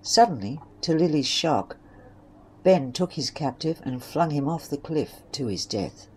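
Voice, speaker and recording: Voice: mid-range voice, lively intonation, a little slow, a little mellow, husky, low-quality recording. A narrator reading scripted third-person story passages for an amateur western audio drama.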